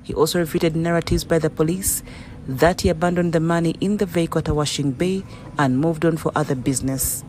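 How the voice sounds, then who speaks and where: A man reads a news package in a measured, formal cadence at a mid pitch. There is a faint hiss of noise under his voice. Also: darkish tone. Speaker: news reporter. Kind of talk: scripted news voice-over.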